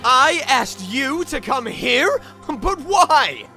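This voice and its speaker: Animated, bewildered amnesiac spirit played by an actor. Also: high-pitched, very slow, faint music behind, exaggerated voice. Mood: incredulous. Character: bewildered amnesiac spirit, polite, dry-witted, sympathetic narrator. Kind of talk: dramatic fan dub with inner monologue.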